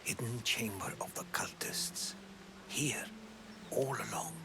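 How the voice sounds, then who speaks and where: An actor playing a wry, quietly protective male companion in a video game cutscene, giving low-key, dry advice. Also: slow pace, whispered, lightly acted. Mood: hushed. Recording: clearly noisy game ambience.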